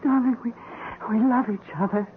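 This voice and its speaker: A woman acting in an old-time radio drama as a frail, dying, devoted wife, weak, tearful and halting. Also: on the high side, fairly even intonation, lightly acted. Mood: tearful, fading, devoted. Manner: halting, breathless, melodramatic. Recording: muffled, noisy old radio broadcast.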